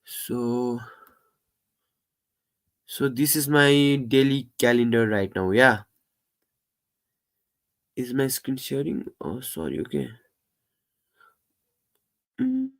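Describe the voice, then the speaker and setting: A man hosting a casual live stream, talking in halting English full of fillers and restarts, and he says he stammers. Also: medium pitch, expressive, gravelly. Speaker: livestream host.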